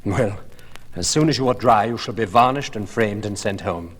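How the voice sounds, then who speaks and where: A man voicing an earnest, touchy gentleman painter in a storybook reading, bitter and brusque. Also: fairly low pitch, moderate pace, husky, long reverb tail. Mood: brisk, dry.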